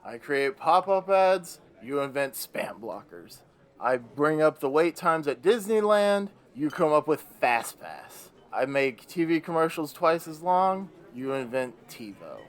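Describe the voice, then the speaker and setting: A man's voice: fairly high pitch, lively intonation, a little slow. A man playing a bitter, weary old Devil in a radio play, grumbling in a theatrical, sardonic way. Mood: exasperated.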